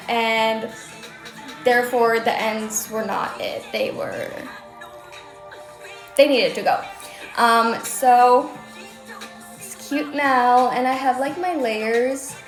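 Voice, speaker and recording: A young woman from Sweden with a light Swedish accent, chatting casually on a livestream from her own room, answering comments with lots of "like" fillers. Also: on the high side. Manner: chatty, bubbly, many "like" fillers. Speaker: livestream host. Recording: roomy echo with faint music.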